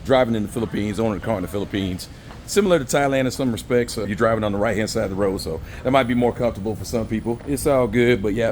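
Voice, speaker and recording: A man from the United States, a bit hoarse from losing his voice, chatting casually in a travel vlog as he drives and shops. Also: mid-range voice, darkish tone. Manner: laid-back, slangy, chatty. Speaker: travel vlogger. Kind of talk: casual vlog talk while driving and shopping. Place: in a car and a mall outdoors, city traffic. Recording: street and traffic noise behind.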